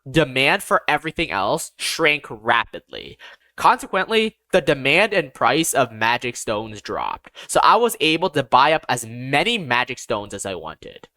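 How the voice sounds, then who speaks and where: Casting: androgynous fantasy audiobook reader, measured but expressive delivery with wide melodic swings, recorded dry. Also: medium pitch, no echo. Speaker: audiobook narrator. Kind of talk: scripted first-person fantasy story narration.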